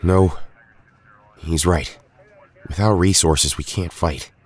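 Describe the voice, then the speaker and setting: A man acting in an audio drama as an earnest, weary young resistance fighter, speaking in a grave, measured way. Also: low-pitched, slightly rough, heavily acted. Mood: grave, resolute.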